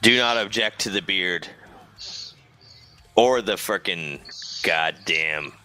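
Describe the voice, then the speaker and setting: A man's voice: low voice, takes it slowly, pushed and bright, slight background noise. A man co-commentating an online drone race, wisecracking and teasing between calls. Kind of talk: joking esports commentary.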